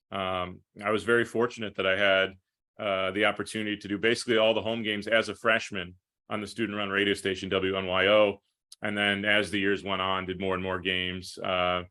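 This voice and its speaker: A man's voice: lowish voice, pushed and bright. A man in his thirties from New York, talking casually as a broadcaster being interviewed on a podcast. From Rochester, New York, United States. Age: 30s. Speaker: podcast guest, play-by-play broadcaster.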